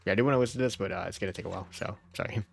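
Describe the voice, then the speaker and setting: A man with a fairly low voice gives a casual game playthrough while sick. He talks informally and jokingly as he reads story text aloud, with faint music underneath. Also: rapid-fire, creaky. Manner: informal, jokey, often chuckling. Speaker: game streamer.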